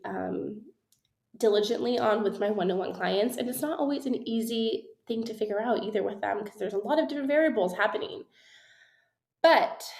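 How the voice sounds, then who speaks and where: A woman's voice: mid-range voice, creaky. A woman in her thirties hosting a solo business podcast, chatty and upbeat, sounding slightly nasal from a cold, in an echoey office. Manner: chatty, upbeat, frequent ums and little laughs. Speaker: podcast host and business coach. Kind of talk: casual solo podcast talk. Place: her new home office, echoey room. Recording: echoey room.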